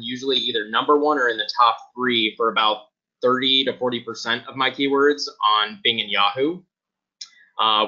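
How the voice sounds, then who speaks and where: A man giving a casual webinar testimonial as a guest, his voice starting to go and needing frequent throat clearing. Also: on the low side, sharp tone. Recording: dull, lo-fi webinar audio.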